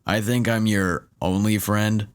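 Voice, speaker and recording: An actor playing a reluctant, overworked robot leader in an audio drama, dry and reserved. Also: male voice, on the low side, steady tone, unhurried, smooth, lightly acted.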